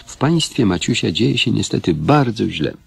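A man's voice: lowish voice, very animated, normal speed, gravelly. A man narrating a radio play introduction in a dramatic storytelling style.

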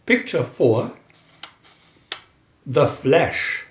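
A man reading scripted children's narration like an announcer, with a deliberate, dramatic delivery. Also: fairly high pitch, sing-song. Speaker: booklet reader and channel host. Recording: roomy echo, dull lo-fi sound.

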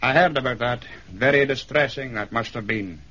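An actor playing a curt, sardonic Scottish islander politician in a 1970s radio drama. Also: male voice, medium pitch, normal speed, bright, forceful tone, husky. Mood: grudging, reproachful. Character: gruff, scornful Scottish islander government minister. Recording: dull 1970s radio recording.

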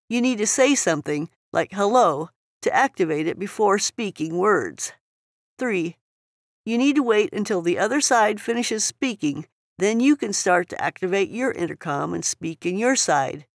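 A woman's voice: mid-range voice, no room sound, studio quality. A woman reading scripted instructional narration for a product tutorial.

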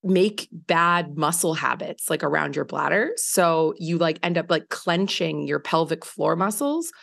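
A woman in her thirties, hoarse from a recent illness, telling a story on a podcast in a casual, filler-heavy way, laughing often. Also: low-pitched, lively intonation, a little slow, a bit bright. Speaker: podcast co-host. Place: home living room by windows. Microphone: large studio mic on video call.

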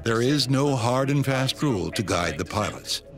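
A man's voice: mid-range voice, lively intonation, moderate pace. A man reading scripted, dramatic narration as the narrator of a documentary. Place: voice-over booth. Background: background score music.

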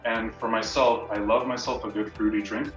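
A man's voice: fairly low pitch, level tone, moderate pace, a bit bright. A young adult man, a student, talking casually to camera on a video call from a room at home. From Canada. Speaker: student fellow, presenter. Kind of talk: casual personal talk to camera. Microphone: camera mic at a distance. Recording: roomy echo, distant mic, music behind. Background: music.

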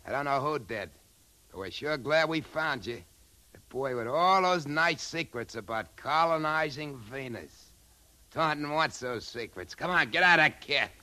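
Sharp-voiced brash American cabbie crook. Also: male voice, a little high, animated, unhurried, exaggerated voice. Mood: menacing, gloating. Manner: brash, colloquial. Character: chatty pedicab driver turned greedy gunman. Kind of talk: 1950s radio drama acting. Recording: 1950s radio drama, faint background noise.

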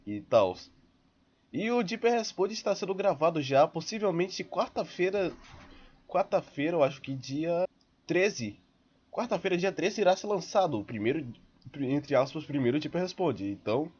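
A man's voice: on the high side, darkish tone. A man with a Brazilian accent talking casually to viewers as a channel host. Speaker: channel host and fan dubber. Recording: dull lo-fi, music behind. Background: background music.

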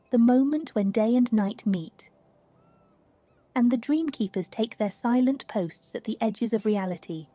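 A woman reading a scripted guided sleep meditation in a slow, soothing, hushed manner. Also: fairly high pitch, dry recording. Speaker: meditation narrator. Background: music.

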